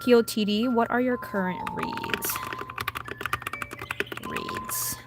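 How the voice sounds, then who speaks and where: Young woman, raised pitch, hoarse from losing her voice, chatty stream host, faint music behind. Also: wildly swooping pitch, takes it slowly. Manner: chatty, greets viewers by name. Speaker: livestream host. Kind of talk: casual livestream chat.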